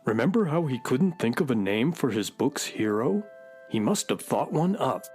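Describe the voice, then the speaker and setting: A man's voice: medium pitch, sing-song, moderate pace, darkish tone, faint music behind, close to an everyday voice. A man playing a sensible, dryly wry horse sidekick in a children's radio drama. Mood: matter-of-fact.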